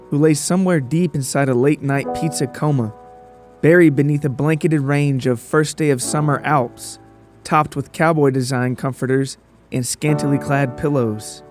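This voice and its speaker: A man hosting a narrative podcast, talking to listeners in a joking, casual, storytelling way. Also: on the high side. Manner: joking, rambling, addresses listeners directly.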